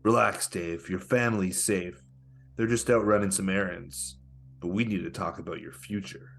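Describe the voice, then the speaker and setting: A man playing a smug, menacing con-artist pickup guru in a comedic script read, with taunting, theatrical delivery and a husky voice. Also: low voice, steady tone, a bit bright. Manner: taunting, theatrical, deliberate threats.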